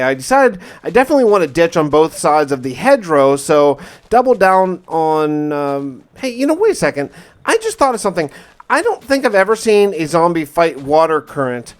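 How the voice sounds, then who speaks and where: Higher-pitched man narrating a survival diary. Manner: conversational, musing aloud with rhetorical questions. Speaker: gaming video narrator and player.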